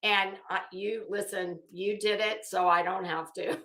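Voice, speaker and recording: A woman's voice: mid-range voice, fairly even intonation, measured pace, a bit bright. Gossipy woman chatting in an echoey room. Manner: gossipy, chuckling, coy asides. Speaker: livestream host. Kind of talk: casual chat with live viewers. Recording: roomy, echoey room sound.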